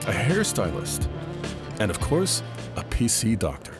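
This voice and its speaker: A man reading scripted documentary narration in a measured, informative way. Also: fairly low pitch, huge pitch swings. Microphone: studio voice-over. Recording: narration over clear music. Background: music bed.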